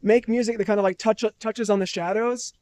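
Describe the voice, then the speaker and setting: A man's voice: sits high, steady tone, brisk, darkish tone, no room sound. A young man from New Hampshire, a musician being interviewed at a festival, talking in a thoughtful, rambling way with many restarts. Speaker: musician guest. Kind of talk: casual interview.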